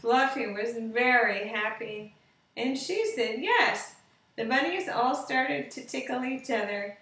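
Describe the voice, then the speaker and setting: A woman reads a simple bedtime story for young children in a rather high voice. She sounds a little away from the microphone, in an echoey living room, as captured on a webcam. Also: slow, fairly bright tone, slight background noise. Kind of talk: children's bedtime story read aloud, slow simple narration. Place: living room with sofa and window, indoors. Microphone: webcam at about arm's length or more. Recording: roomy, distant webcam sound.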